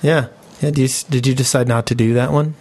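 A man's voice: medium pitch, fairly even intonation, fast, darkish tone. A man co-hosting a podcast in casual banter. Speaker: podcast co-host.

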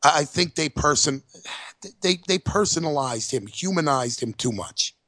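A man's voice: on the high side, animated, moderate pace, pushed and bright, no room sound. A man co-hosting a casual wrestling podcast, blunt and emphatic, swearing freely. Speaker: podcast co-host.

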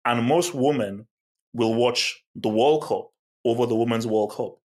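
A man with a mid-pitched voice in casual sports talk. He asks pointed questions and pushes his argument with emphatic repetition, as a podcast co-host. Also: a little slow, a little mellow.

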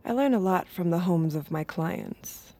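A woman playing an obedient, observant android escort, speaking in a measured, intimate way in an audio drama. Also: fairly low pitch, expressive, mellow. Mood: detached, wistful. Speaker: narrator and lead character.

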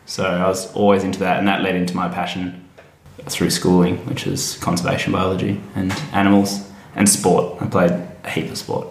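Casual male interview guest, Australian accent, lowish gravelly voice, recorded in an echoey room. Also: little pitch movement, normal speed, a little hiss or hum. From Fremantle, Western Australia. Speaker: interview guest, tour operator. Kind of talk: casual chat. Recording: roomy, echoey sound.

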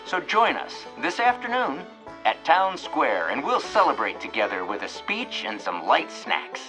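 A man's voice: medium pitch, huge pitch swings, a little slow, low-quality recording. A man playing a cheerful, folksy small-town host who announces an old-time radio hour in a presentational way. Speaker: host and narrator. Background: plucked guitar music.

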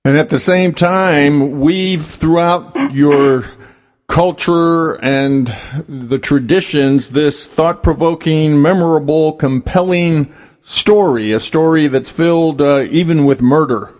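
Male radio host, measured questions, slightly high voice. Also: darkish tone. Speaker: interview host. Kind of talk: radio interview.

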